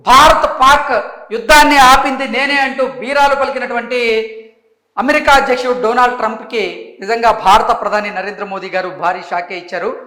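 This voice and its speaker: A man presenting a news report with commentary, phrasing his points emphatically and deliberately. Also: very high voice, sharp tone. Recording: roomy, echoing voice recording.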